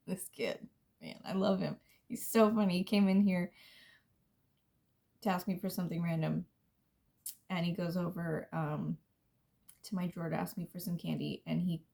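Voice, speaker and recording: A young woman with a scratchy, gravelly voice rambles casually through her makeup routine. Her tone is mellow and dark, and she laughs at herself and trails off into soft, half-whispered asides. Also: on the low side, fairly even intonation, normal speed, long pauses. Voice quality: scratchy smoker's voice. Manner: rambling, chatty, self-deprecating, drifts into soft near-whispers. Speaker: beauty vlogger. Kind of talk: casual chat while doing makeup.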